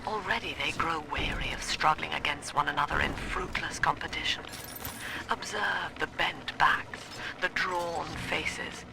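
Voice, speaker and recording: An actress playing a cold, lofty middle-aged British cult leader and psychiatrist in a video game, with measured, formal, clinical preaching. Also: female voice, low voice, gravelly, noisy background. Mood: grave, didactic. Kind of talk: scripted video game villain monologue.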